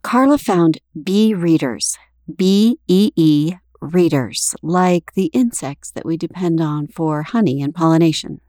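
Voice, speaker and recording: A woman podcast host narrating a story and asking interview questions in a clear, scripted way. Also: lowish voice, a little slow, dark tone, no room sound, studio quality.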